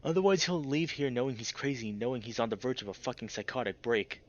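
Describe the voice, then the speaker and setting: A man narrating a visual novel aloud as a let's player, dramatic and expressive, swearing freely in casual reactions. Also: medium pitch, fast talker, dry recording, lo-fi sound. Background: music.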